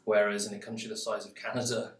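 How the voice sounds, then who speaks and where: A man from Manchester with a Mancunian accent, speaking in a relaxed, matter-of-fact way. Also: on the low side, fast. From Manchester, England. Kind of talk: casual interview answer. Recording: echoey, distant from mic.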